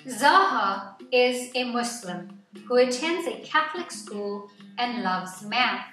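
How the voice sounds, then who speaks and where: A woman reading a nonfiction picture book aloud to children in an expressive, deliberate storytelling way, phrase by phrase. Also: fairly high pitch. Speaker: children's story-time reader. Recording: echoey room, distant mic, music underneath.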